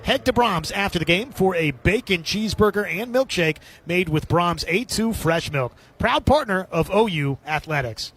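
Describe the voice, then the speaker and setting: A man's voice: high voice, lively intonation, moderate pace, few pauses, a bit bright, husky. A man doing live radio play-by-play commentary of a baseball game.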